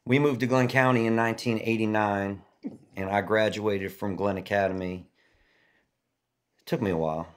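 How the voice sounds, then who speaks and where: A man in his forties from Massachusetts, a singer-songwriter guest on a podcast, telling stories in an easygoing, rambling way that trails off softly. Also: lowish voice, steady tone, moderate pace, long pauses. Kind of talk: casual storytelling chat.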